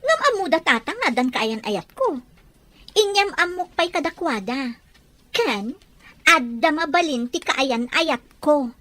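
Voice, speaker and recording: Woman playing a high-pitched, lively young schemer. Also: a little slow, no echo, full character voice. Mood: pressing. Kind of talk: acted audio drama.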